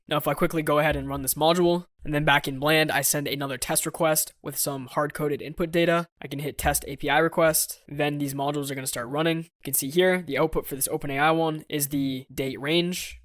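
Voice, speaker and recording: Guy doing a software tutorial voiceover, a bit higher pitched, methodical, really dry close sound. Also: dry recording. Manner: methodical step-by-step explaining. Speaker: course instructor. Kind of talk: scripted software tutorial narration. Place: close dry voice-over recording.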